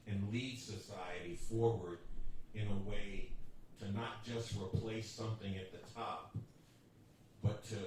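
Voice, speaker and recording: A man giving an impassioned political speech at a panel event, with emphatic, deliberate phrasing. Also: lowish voice. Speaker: panel speaker. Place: bookstore event room. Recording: echoey room, distant mic, background noise.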